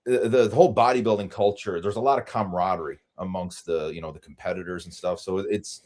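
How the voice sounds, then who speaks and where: A man's voice: low-pitched, steady tone, fast, a little mellow. Easygoing male podcast host. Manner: easygoing, friendly, with fillers. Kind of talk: casual interview talk.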